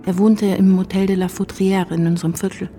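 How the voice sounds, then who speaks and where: A woman's voice: medium pitch, flat delivery, fairly quick, dark tone, soft background music, natural voice. An actress playing a composed wife and mother who narrates revolutionary times in a radio drama.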